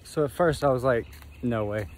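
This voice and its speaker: A man's voice: medium pitch, moderate pace. A young man giving casual, off-the-cuff commentary in a laid-back, slangy way as he vlogs on a handheld camera. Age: young adult. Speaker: vlogger. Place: outdoors on a wooded trail. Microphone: handheld phone or camera at arm's length. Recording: outdoor nature ambience, faint noise.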